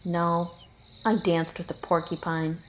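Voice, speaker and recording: A woman's voice: fairly low pitch, flat delivery, takes it slowly, slight background noise. A woman voicing a chatty, matter-of-fact male animal neighbor in a storybook. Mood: subdued.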